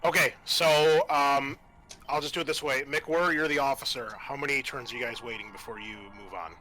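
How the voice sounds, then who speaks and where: A man's voice: medium pitch, moderate pace, over music, poor audio. A man acting as game master, casually narrating a tabletop game and thinking aloud as he gives rulings.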